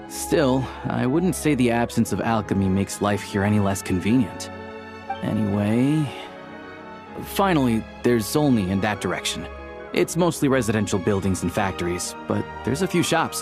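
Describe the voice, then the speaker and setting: A man's voice: lowish voice, animated, moderate pace. A man playing a friendly young local guide in a video game, explaining things in a casual, helpful way. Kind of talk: video game cutscene dialogue. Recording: music playing behind the voice.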